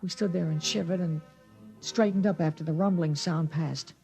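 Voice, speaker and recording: A man's voice: on the high side, fairly even intonation, normal speed, creaky. Earnest boy narrator, music behind. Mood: hushed wonder. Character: excited, earnest small-town boy narrating a world event. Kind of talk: 1950s radio drama narration. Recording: vintage radio drama with loud music.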